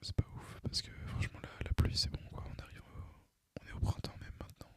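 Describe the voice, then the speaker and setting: Whispering young man, ASMR style. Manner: hesitant, unhurried, informal. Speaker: ASMR gaming channel host. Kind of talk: ASMR, casual rambling whispered gaming chat. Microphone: microphone recorded through a phone, voice-over on gameplay.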